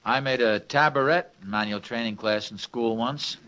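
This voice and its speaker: A man's voice: medium pitch, moderate pace, heavy background noise, close to an everyday voice. An actor playing a proud, bumbling middle-aged father in a radio family comedy, with a hesitant, grumbling manner. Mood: miffed, boastful. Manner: hesitant, grumbling, self-justifying. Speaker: sitcom father. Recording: muffled vintage radio recording.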